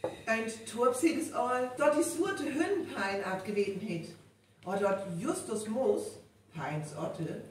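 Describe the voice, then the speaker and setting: A woman with a North Frisian accent playing an excitable village woman passing on rumours in a live radio play. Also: mid-range voice. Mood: gossipy. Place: live stage performance venue. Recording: echoey live hall, distant mic, faint noise.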